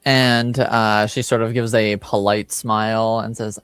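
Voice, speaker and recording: A man narrates a tabletop roleplaying game as game master. His voice is fairly low and his delivery very slow, with frequent pauses. Faint music plays behind him. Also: level tone, fairly bright tone, smooth. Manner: halting, pausing often.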